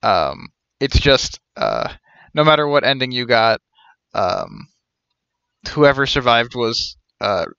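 A man's voice: medium pitch, very animated, slow, vocal fry, fairly bright tone, clean tone, no echo, muffled recording. A young man giving casual, rambling live commentary as he streams a game.

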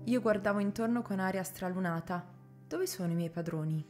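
An actress voices a loyal, distressed maidservant in a radio drama. She narrates earnestly and theatrically in a mid-range voice, with clear guitar music underneath. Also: medium pitch, brisk, a little mellow, lightly acted. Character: devoted, distressed female servant telling her story. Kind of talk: dramatic first-person narration and dialogue.

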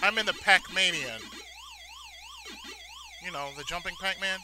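A man's voice: high voice, measured pace. A man giving casual let's-play commentary, rambling sentimentally and repeating his phrases, as host of a gaming video. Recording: noisy, game sounds behind voice. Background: arcade-style video game sounds.

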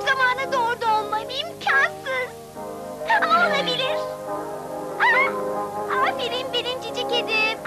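A woman voicing a sweet, excitable little baker girl in a dubbed cartoon, exclamatory and wide-eyed. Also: very high-pitched. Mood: worried, hopeful. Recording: cartoon dub with loud music.

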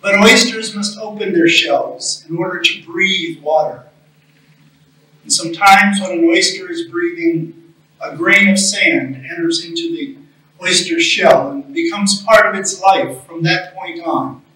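Distant echoey room, middle-aged man, high bright voice, solemn memorial homily, measured phrasing with pauses. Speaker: chaplain leading a memorial service. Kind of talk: memorial homily, reading a reflective story. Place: small chapel, indoors at a lectern.

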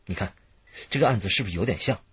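An actor playing an eager, friendly young police computer investigator in a radio drama. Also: male voice, lowish voice, lively intonation, very fast, fairly bright tone, dull audio, slight background noise. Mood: eager, thoughtful. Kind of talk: Chinese radio drama acting.

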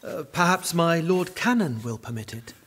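A man's voice: fairly high pitch, animated, moderate pace, a bit bright. A man playing a kind, protective but timid priest in an audio drama. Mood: hesitant. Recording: long echoing reverb.

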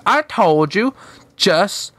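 A man voicing an exasperated cartoon watchdog commander in a flat, grumbling manner. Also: sits high, very slow, no echo, exaggerated voice.

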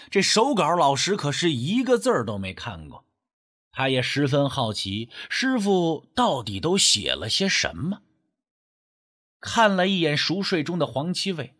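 Husky man telling a suspenseful story. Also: on the high side, fairly bright tone. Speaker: audiobook narrator. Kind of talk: dramatic scripted narration of a suspense ghost story.

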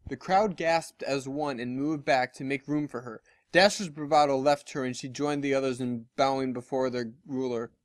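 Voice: medium pitch, normal speed, few pauses, no echo. A story reader giving a scripted narration of fiction. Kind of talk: scripted narration of fan fiction with character voices.

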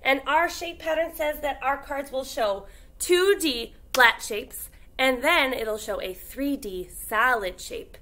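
Young woman, kindergarten teacher, bright high voice, encouraging, animated, children's lesson. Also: takes it slowly. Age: young adult. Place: indoor classroom.

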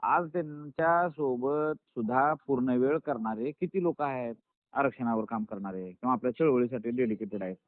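A man's voice: mid-range voice, moderate pace, no echo. A man on a phone call, talking in an emphatic, reassuring and persuasive way, defending himself. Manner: emphatic, reassuring, self-justifying. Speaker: political activist who joined the ruling party. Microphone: phone line. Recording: narrowband phone call recording.

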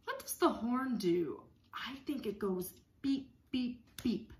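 A woman in her thirties leading songs and story time for young children, speaking in simple, repetitive, expressive phrases. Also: fairly high pitch, slow pace, roomy sound, slight background noise. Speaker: speech-language pathologist leading circle time. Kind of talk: children's songs and read-aloud lesson. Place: small room at home.

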